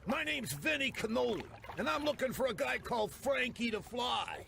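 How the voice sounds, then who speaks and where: Cocky New York mobster, lively delivery. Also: male voice, very high-pitched, moderate pace, vocal fry, slight background noise, full character voice. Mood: brash, impatient. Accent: New York Italian-American. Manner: tough-guy gangster slang, cocksure. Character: cocky tough 1920s mob enforcer. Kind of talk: video game character acting with noir narration.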